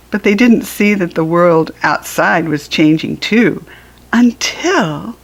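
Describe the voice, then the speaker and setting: A woman with an American accent reading a children's storybook aloud, expressively and with deliberate enunciation. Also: on the low side, slightly rough. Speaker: children's book reader. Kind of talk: scripted storybook narration for children.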